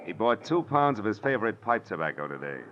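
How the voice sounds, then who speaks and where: An American actor playing a wry, hard-boiled private detective in 1940s radio drama style, clipped, tough and sardonic. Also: male voice, on the low side, very animated, fast, a bit bright. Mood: confident, wry. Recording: muffled, hissy old radio recording.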